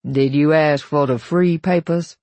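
A woman voicing an exasperated, angry father in an audiobook, forceful and emphatic in dialect speech. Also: deep voice, fairly even intonation, unhurried, no echo. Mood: tense. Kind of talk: audiobook character voice.

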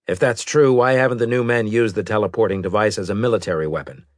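A man's voice: lowish voice, quick pace, no room sound, clean studio sound, pitched above the performer's own voice, close to an everyday voice. A man playing an authoritative, probing male military leader who talks tersely in a science fiction audiobook. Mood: probing, serious.

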